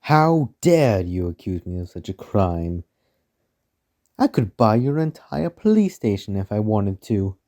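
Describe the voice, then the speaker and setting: Guy doing a snobby rich villain, dark mellow voice, drawls and sneers, radio play. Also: fairly low pitch, very animated. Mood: indignant. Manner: haughty, drawn-out, sneering delivery. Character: smug, arrogant rich mansion owner, menacing and condescending man. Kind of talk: amateur radio play acting.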